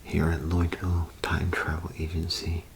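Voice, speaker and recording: A man whispering in a breathy, creaky voice, playing a polite, unhurried clerk in an ASMR role play. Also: low-pitched, monotone, a little hiss or hum. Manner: unhurried, polite customer-service patter.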